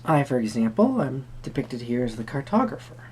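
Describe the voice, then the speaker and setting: A performer playing a quirky, friendly tarot reader at a Renaissance fair, speaking to the viewer in halting, theatrical phrases. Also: mid-range voice, very animated, a little mellow, a little hiss or hum, natural voice. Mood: whimsical, musing. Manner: hesitant, theatrical, halting phrasing with sudden emphasis. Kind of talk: improvised first-person roleplay addressed to the viewer.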